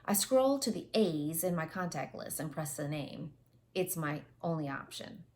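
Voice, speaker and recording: A woman's voice: low-pitched, lively intonation, measured pace, a little mellow. A woman in her forties reading a first-person novel aloud, recorded on earbuds in a home office. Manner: reads aloud with character voices and retakes. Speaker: audiobook reader. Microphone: wireless earbuds.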